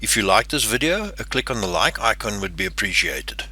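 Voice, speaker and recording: A man's voice: lowish voice, very animated, fairly quick, bright, forceful tone, slightly rough. An older man from South Africa with a South African English accent, hosting his own channel in a scripted, earnest and somewhat stiff delivery. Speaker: channel host. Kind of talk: scripted talk to camera.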